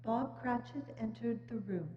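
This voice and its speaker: A woman's voice: a little high, moderate pace. A woman narrating a story in a scripted dramatic reading. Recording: echoey church hall, dull, hum.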